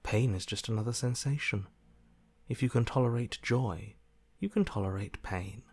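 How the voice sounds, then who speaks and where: An actor playing a detached, emotionless inner voice in a video game, dryly sardonic in manner. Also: male voice, medium pitch, moderate pace, husky, dry recording, lightly acted. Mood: cold, detached. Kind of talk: scripted game voice acting.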